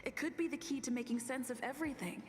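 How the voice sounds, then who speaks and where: An actress playing a pensive, determined young female investigator in a game's inner monologue, musing deliberately. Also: high-pitched, natural voice. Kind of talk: scripted game inner monologue. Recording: long reverb, music under the voice.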